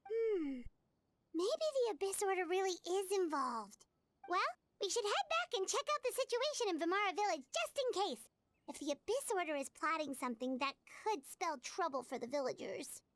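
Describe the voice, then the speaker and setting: A woman voicing a small, chirpy, childlike fairy companion in a video game, perky and expressive. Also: normal speed, dry recording. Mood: thoughtful. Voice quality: squeaky, childlike.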